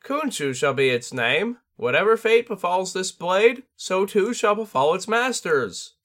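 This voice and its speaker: An ancient bird-spirit sword master speaks in a sharp, piercing squawk, pitched far above an ordinary man's voice, as a male storyteller puts it on. He lifts his questions in a sing-song "yes?" and sounds loud and insistent. It is a cryptic, otherworldly teacher from an audiobook. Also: sing-song, measured pace, full character voice.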